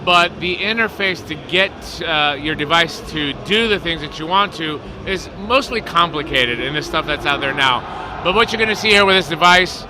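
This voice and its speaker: A man with a Greek accent chatting casually as a co-host and industry representative, easygoing and quick to laugh. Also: fairly high pitch. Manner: easygoing, laughing, talks with a few slips. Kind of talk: casual chat. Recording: loud background noise.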